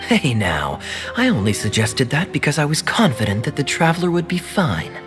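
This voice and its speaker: A man voicing an articulate young male scholar in a game dub, explaining things in a measured, analytical way. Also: fairly high pitch, sing-song. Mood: thoughtful. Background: game music.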